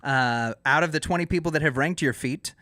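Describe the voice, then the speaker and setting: A man's voice: on the high side, a little fast, sharp tone, no echo. Dry-recorded man, teasing podcast co-host. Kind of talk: casual comedic banter.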